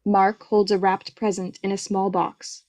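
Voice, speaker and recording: A woman's voice: mid-range voice, little pitch movement, measured pace, a little mellow. A woman giving scripted narration, reading the stage directions of a play.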